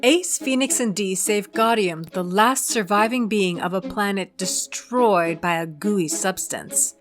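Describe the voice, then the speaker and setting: Woman narrator, medium pitch, scripted recap. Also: unhurried, faint music behind.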